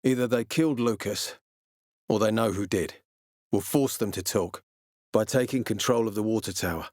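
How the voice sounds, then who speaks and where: A man playing a gruff, weary military officer in a video game, speaking with measured authority. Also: fairly low pitch, darkish tone, no room sound, clean studio sound, natural voice. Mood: commanding, measured. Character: gruff, weary military officer, commanding, later a regretful father. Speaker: game character voice actor.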